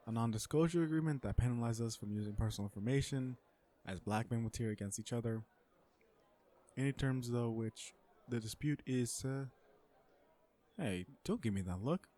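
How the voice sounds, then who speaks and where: A man playing an awkward, blunt, legal-minded villain on a blind date in an audio roleplay, hesitant and deadpan. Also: mid-range voice, a little fast, close to an everyday voice. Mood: earnest, businesslike. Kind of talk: romantic comedy audio roleplay, one side of a dialogue.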